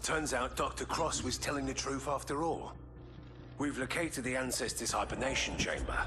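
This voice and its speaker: An actor playing a ruthless, sardonic British soldier in a video game cutscene, taunting and deliberate. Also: male voice, medium pitch, lightly acted. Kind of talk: video game cinematic acting.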